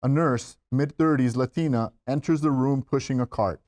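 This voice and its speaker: Male narrator, medium pitch, scripted stage-direction read for a screenplay. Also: normal speed, a little mellow.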